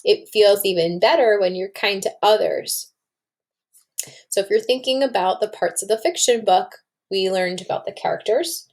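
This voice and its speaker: A woman's voice: mid-range voice, normal speed. A woman reading a storybook aloud to children and explaining it like a teacher, with questions to the listeners.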